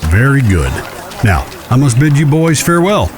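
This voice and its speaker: An actor in an audio drama playing a discreet Old West judge, speaking in a formal, careful, courteous way. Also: male voice, medium pitch, sing-song, moderate pace, noisy background, natural voice. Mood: cautioning, courteous.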